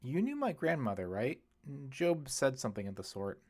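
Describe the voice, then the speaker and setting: A man's voice: medium pitch, wildly swooping pitch, moderate pace, a little mellow. A man reads as a young female apprentice witch in an audiobook novel. She is blunt and curious, speaking casually and directly.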